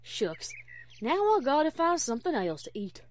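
A woman's voice: high voice, sing-song, normal speed. A woman voicing a menacing, hungry mock-villain wolf in a cartoon fan story, growling in a taunting, folksy, hammy way. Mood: disgusted grumbling.

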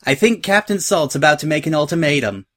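A man's voice: a little high, expressive, fairly quick, fairly bright tone, voice pushed up. A man voicing a clever female unicorn adventurer in an audio story, wry, composed and articulate. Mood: brisk.

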